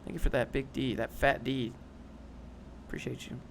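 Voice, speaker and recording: A man's voice: medium pitch, level tone, moderate pace. Young guy rambling to his stream chat, dry sarcastic jokes, medium voice, bit of faint hiss behind. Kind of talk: casual livestream chat.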